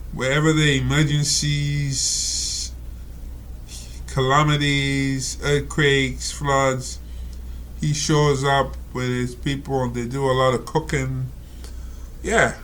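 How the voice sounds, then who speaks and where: A man reading out and commenting on a reader's letter, talking slowly and rambling with many fillers and asides. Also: mid-range voice, a bit bright, slight background noise. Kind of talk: casual spoken commentary.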